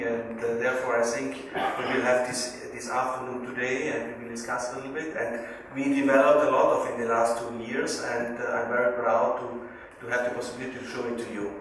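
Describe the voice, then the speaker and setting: A man in his forties from northern Italy, a native German speaker with a South Tyrolean German accent, gives a seminar presentation in English, haltingly searching for words and checking with his listeners. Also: mid-range voice, a bit bright. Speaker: guest speaker, business consultant. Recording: echoey hall, distant mic, faint noise.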